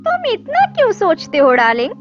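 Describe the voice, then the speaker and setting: A woman voicing a spoiled, bold young woman from a rich family in an animated story. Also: very high voice, a little fast, full character voice. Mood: coaxing, bold. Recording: dull lo-fi audio with music.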